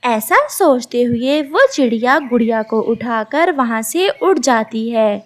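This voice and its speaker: Woman, children's storyteller, high pitch, slow pace, scripted narration.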